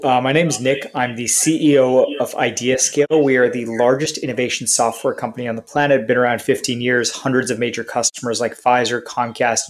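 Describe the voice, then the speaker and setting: A man who grew up in India and Canada, with Hindi as his first language, giving articulate, structured answers as an interview guest. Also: mid-range voice. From India, raised in Canada. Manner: articulate, structured, lists points. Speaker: guest, software company CEO.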